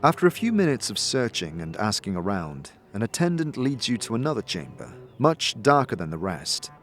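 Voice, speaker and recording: A man with a husky, gravelly voice reading scripted second-person fantasy narration as narrator and game master. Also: fairly low pitch, sing-song, moderate pace, a bit bright, soft background music.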